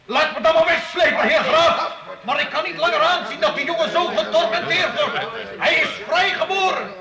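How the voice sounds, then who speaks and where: Very high-pitched impassioned townsman, off-mic. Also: moderate pace, recorded from a distance. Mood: defiant, outraged. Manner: impassioned, outspoken. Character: loud, good-hearted, rebellious medieval townsman. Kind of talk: radio drama acting. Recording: echoey, off-mic amid crowd.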